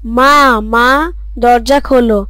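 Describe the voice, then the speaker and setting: A woman's voice: on the high side, steady tone, very slow, bright, forceful tone, no room sound, clean studio sound, heavily acted. A woman voicing a dutiful young son, a pious madrasa pupil, reciting carefully in a scripted Islamic story. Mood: dutiful, earnest.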